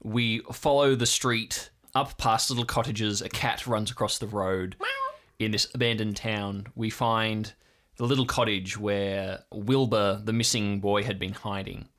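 Guy game master narrating a scene, lowish voice, measured storytelling. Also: fairly even intonation, fairly bright tone.

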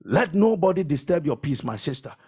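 A man's voice: fairly high pitch, very animated, a little fast, a little mellow, husky. A man preaching a sermon as a preacher, emphatic and exhortatory, repeating phrases to drive them home.

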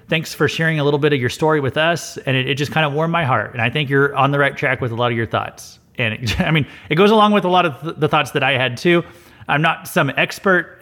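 A man's voice: fairly high pitch, fairly bright tone. A man hosting a Bible study podcast, answering listener mail in a casual, earnest way with frequent fillers and restarts. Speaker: podcast host. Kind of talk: casual mailbag commentary.

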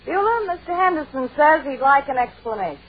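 An actress playing a sociable wife in an old radio family comedy, in period sitcom style. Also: female voice, sits high, big pitch swings, a little fast. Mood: dutiful. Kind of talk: 1940s-50s radio sitcom acting. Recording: muffled radio recording with audience murmur.

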